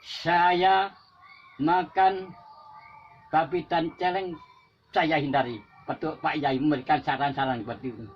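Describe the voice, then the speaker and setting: A man's voice: a little high, fairly even intonation, measured pace, long pauses. An older Javanese man, a war veteran, giving an impassioned patriotic speech with repetitive exhortations that rise to emphatic peaks. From Java. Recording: dull lo-fi recording with faint music.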